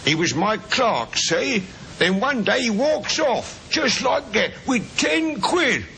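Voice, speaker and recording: A man playing an aggrieved, shady ex-bookmaker in a radio drama, rough, slangy and menacing. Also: big pitch swings, slow, pushed and bright, heavily acted. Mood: bitter, threatening. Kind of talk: 1950s radio drama acting. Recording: old noisy radio recording with rumble.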